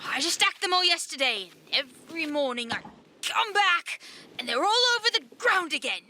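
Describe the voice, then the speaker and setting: A woman's voice: extremely high pitch, expressive, moderate pace, bright, forceful tone, a little hiss or hum, heavily acted. A woman voicing a grumbling, petulant young boy in an audio drama about life at sea. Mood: exasperated. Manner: exasperated, petulant.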